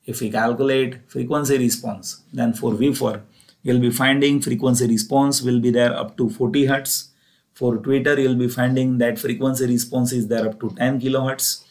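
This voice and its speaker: Male lecturer, Indian accent, mid-pitched voice, methodical and didactic explainer delivery. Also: steady tone, normal speed, a little mellow. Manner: didactic, repetitive step-by-step phrasing, often opening with "so". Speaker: engineering lecturer. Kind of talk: explanatory narrated lecture over slides.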